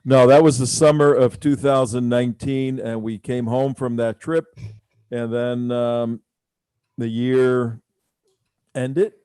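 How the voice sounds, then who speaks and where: A man's voice: medium pitch, steady tone, slow pace, mellow, clear, resonant tone, no room sound. A man hosting a radio talk show, speaking casually and rambling with many fillers.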